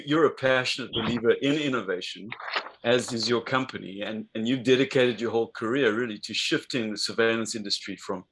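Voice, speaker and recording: A man hosting a business interview over a video call, speaking in a measured way with frequent fillers and restarts. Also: mid-range voice, a bit bright, rough recording. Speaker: interview host.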